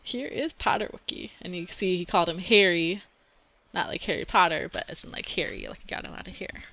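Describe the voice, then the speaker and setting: Female teacher type reading to kids, crisp bright tone, informal explanatory delivery, slightly muffled dry home recording. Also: fairly low pitch, a little fast. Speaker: teacher reading a book aloud to a class. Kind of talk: children's read-aloud with casual asides.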